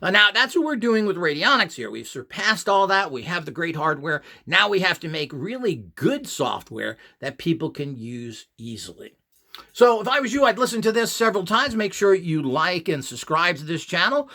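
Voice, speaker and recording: A man's voice: high-pitched, sing-song, moderate pace, sharp tone. A man giving an opinionated monologue as a channel host, emphatic and scornful, often throwing out rhetorical questions. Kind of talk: opinionated monologue to camera or listeners.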